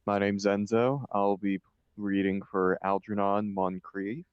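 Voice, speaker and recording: A man's voice: lowish voice, flat delivery, a little slow, smooth, lo-fi sound. A man speaking casually to a livestream audience during an online group reading. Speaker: table read participant.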